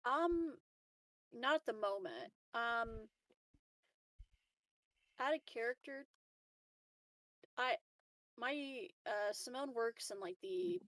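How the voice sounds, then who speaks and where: A woman's voice: on the high side, animated, takes it slowly, pauses often, a bit bright, dry recording, rough recording. A woman playing a tabletop roleplaying game, chatting and improvising informally as she thinks aloud. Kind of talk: casual chat and improvised roleplay.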